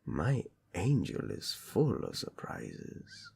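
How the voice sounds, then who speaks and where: A man playing a doting, teasing tyrant prince in a romantic audio roleplay, flirtatious and tender with a husky delivery. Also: lowish voice, wildly swooping pitch, slow pace, a little mellow, heavily acted. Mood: playful, flirtatious.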